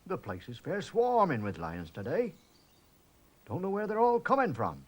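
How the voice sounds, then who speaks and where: A man voicing a pompous, bumbling rural police constable in slow countrified dialect. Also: high voice, wildly swooping pitch, full character voice. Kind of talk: 1960s children's TV animation voice.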